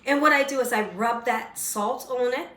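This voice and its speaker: Woman, cooking show host, slightly hoarse, fairly high voice, chatty and folksy, echoey kitchen, a bit off mic. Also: normal speed, a bit bright, distant mic. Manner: chatty, folksy, keeps checking in with "right?". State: slightly hoarse, losing her voice. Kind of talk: casual cooking demonstration. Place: home kitchen.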